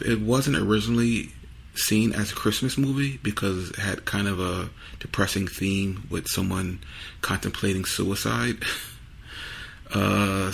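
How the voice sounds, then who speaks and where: A man introducing a podcast episode in a casual, low-key, conversational way. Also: creaky, breathy edge. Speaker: podcast host.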